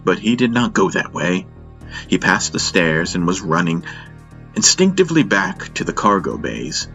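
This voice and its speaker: A man's voice: sits low, sing-song, moderate pace, creaky, fairly bright tone, lo-fi sound. A man reading a dramatic scripted sci-fi audiobook narration, with music playing underneath.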